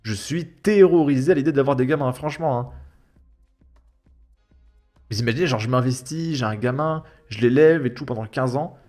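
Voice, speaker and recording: A man's voice: medium pitch, normal speed, pauses often, darkish tone. Sarcastic, exasperated young Frenchman over music. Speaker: YouTube commentator. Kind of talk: casual mocking reaction commentary. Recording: music behind the voice. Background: background music.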